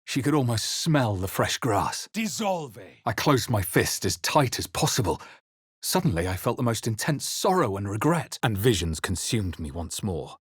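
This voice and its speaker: A man playing a brooding, battle-hardened adventurer in a fantasy game, with a husky voice and a theatrical, measured delivery. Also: on the low side, sing-song, no room sound, studio quality. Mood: incanting, forceful. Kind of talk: dramatic fantasy game voice lines and first-person narration.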